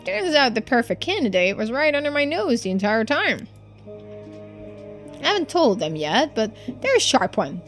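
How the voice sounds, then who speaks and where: A woman's voice: fairly high pitch, big pitch swings, moderate pace, faint music behind. A woman voicing a cantankerous, dismissive old male tycoon from a video game, with blunt, folksy old-timer phrasing. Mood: reflective, gruff.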